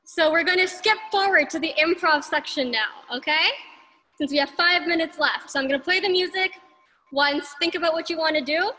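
A woman's voice: very high-pitched, a little fast, pushed and bright, low-quality recording. A woman leading an online dance class over a video call, counting beats aloud and cheering everyone on. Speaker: dance instructor. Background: dance music.

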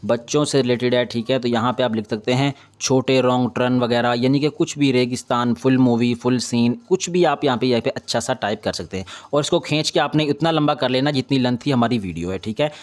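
A man's voice: medium pitch, fairly quick, hardly any pauses. Man giving an informal editing tutorial. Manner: repetitive confirming tags, instructional. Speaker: video editing tutorial host. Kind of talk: informal step-by-step screen tutorial.

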